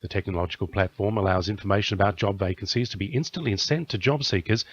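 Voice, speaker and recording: A man's voice: lowish voice, quick pace. A man with an Australian accent reading a scripted radio news bulletin. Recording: dull lo-fi radio audio.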